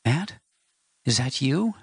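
A man's voice: mid-range voice, big pitch swings, slow, gravelly, husky, dry recording, studio quality, pitched above the performer's own voice, full character voice. A man voicing a tearful, faltering young wife. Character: young farm wife, grieving and frightened. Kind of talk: audiobook character reading.

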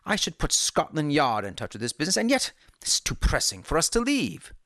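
A reader voicing a brilliant, excitable male detective in a Victorian audiobook, in a raised, quick, exclaiming voice. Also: medium pitch, no echo, studio quality. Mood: urgent. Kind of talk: audiobook character reading.

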